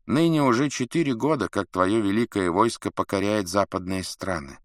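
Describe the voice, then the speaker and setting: A man's voice: fairly low pitch, normal speed, no room sound, studio quality, natural voice. A man voicing a wise court counsellor in a historical storybook, solemn and self-important, as if reciting a prayer. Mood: solemn, grave.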